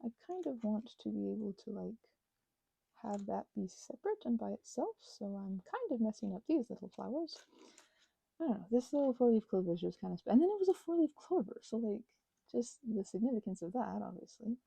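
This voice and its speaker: A mellow, husky woman with a creaky edge chats through a craft project. She rambles whimsically, half to herself and half to her materials, and drifts toward a whisper at times. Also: mid-range voice, very animated, brisk, no room sound, poor audio. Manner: whimsical, talks to herself and objects. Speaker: crafting vlogger. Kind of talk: rambling casual craft chat, ASMR-style.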